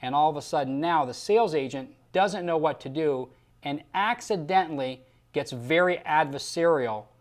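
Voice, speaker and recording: A man's voice: fairly high pitch, moderate pace. A man working as a sales trainer, giving instructional commentary in an emphatic, rhetorical coaching style and acting out example lines. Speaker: Medicare sales trainer and host.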